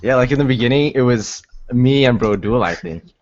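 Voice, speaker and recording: A man who reviews mods, chatting in a relaxed, hesitant way with lots of filler words. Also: mid-range voice, fairly quick, lo-fi sound. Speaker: mod reviewer. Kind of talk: casual group chat.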